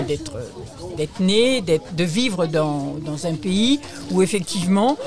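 Halting woman in an interview. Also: medium pitch. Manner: halting, reformulates mid-sentence. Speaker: aid charity representative, interview guest. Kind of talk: spontaneous interview explanation.

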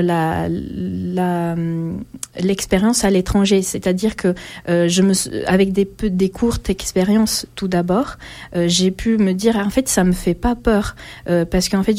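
A woman, a guest answering interview questions in a halting, thoughtful way. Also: medium pitch, warm tone. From Italy. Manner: halting, thoughtful, many pauses.

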